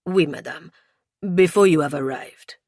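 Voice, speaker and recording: A man's voice: on the high side, unhurried, darkish tone, dry recording. Haughty French police inspector as read by a woman, formal and stilted, French accent, dry close audiobook sound. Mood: stern.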